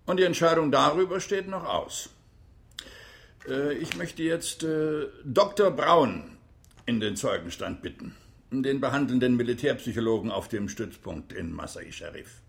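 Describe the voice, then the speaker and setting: A probing examiner in a radio drama trial. His voice has plenty of creak, and he speaks formally, with measured questions. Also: mid-range voice, animated. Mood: firm, formal. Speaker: courtroom questioner.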